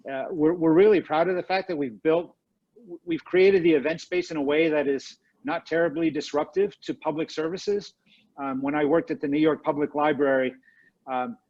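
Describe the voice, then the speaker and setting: A man speaking for a library on a panel, relaxed and self-deprecating. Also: a little high, highs cut off. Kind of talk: panel discussion answers.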